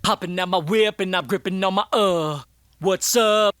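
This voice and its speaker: A man's voice: high voice, moderate pace, fairly bright tone, no echo, studio quality. A man rapping a loose vocal take and dropping ad-libs between bars. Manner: loose, off-the-cuff rap with ad-libs. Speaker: rapper recording vocals.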